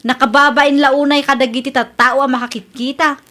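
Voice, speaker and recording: Gossipy, easily embarrassed Ilocano wife, very high voice, radio comedy drama, played by a woman. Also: fairly even intonation, a little fast, sharp tone. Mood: indignant, mocking.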